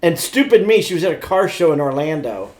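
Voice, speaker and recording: Middle aged guy on a casual podcast, kinda high voice, blunt storyteller, bit crude. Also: big pitch swings, fairly quick. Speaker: podcast co-host. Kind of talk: casual pop-culture chat.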